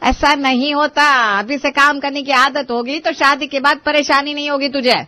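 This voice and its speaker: A woman voicing a scolding middle-aged mother in an animated family drama, stern and lecturing. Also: high voice, moderate pace.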